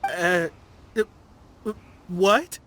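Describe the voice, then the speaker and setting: A woman's voice: on the low side, very slow, fairly bright tone, slight background noise. A woman voicing an anxious, superstitious and polite townswoman in a video game, earnest and hesitant in how she talks. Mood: bewildered. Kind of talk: English video game voice acting.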